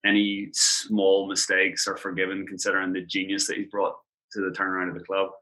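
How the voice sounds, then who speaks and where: An Irish man in his thirties talks quickly, his voice bright and forward. He chats as a podcast guest, running his stories together with loose "like" fillers. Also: sits low, poor audio. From Ireland. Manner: quick, animated storytelling with frequent "like" fillers. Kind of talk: casual podcast chat.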